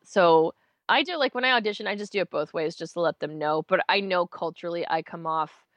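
A woman's voice: on the low side, brisk, dry recording. Chatty, self-mocking woman voice actor. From United States. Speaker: voice actor guest. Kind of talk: casual interview chat.

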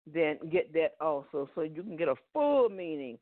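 Southern male preacher, slightly high voice, tinny phone-line audio, dry sound. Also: very animated, normal speed, no echo. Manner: peppers speech with "Amen" and "Hallelujah". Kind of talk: Bible teaching sermon.

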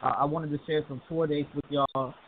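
A man phones into a radio show, easygoing and loose, leaning on plenty of fillers. His voice sits a bit high and comes through a narrow telephone line with faint hiss. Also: steady tone, fairly quick. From southern US. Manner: laid-back, many fillers. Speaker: caller. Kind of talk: call-in talk radio chat.

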